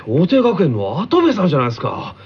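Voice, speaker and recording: A cheeky, brash teenage schoolboy in an anime radio drama. The voice is high and husky, swooping widely in pitch as if making an excited school lunch announcement. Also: male voice, fast talker, dull audio, exaggerated voice. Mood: surprised, casual. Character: brash, cheeky, food-loving teenage schoolboy tennis player.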